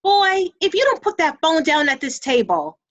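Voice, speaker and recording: A woman's voice: fairly high pitch, animated, a little slow, bright, forceful tone, lo-fi sound, a little hiss or hum. A woman playing a stern mother in an audio drama, scolding her children in an emphatic way. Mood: exasperated, scolding. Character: stern mother of bickering children at the dinner table.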